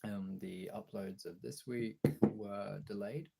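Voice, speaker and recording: British male streamer, low and husky with a croaky fry, hoarse from illness, informal sweary chat. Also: fairly even intonation, slow pace, heavy background noise. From Britain. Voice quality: raspy, throaty. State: hoarse from illness, throat clearing. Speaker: live streamer and audio voice performer. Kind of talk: casual livestream chat.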